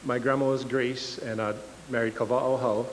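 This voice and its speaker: A man's voice: mid-range voice, flat delivery, moderate pace. A young man from Hawaii with a Hawaiian Pidgin accent, giving a casual, joking guest talk at a lecture. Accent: Hawaiian Pidgin English. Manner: nervous, joking, self-deprecating. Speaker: guest speaker. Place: lecture hall. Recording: reverberant hall with faint hiss.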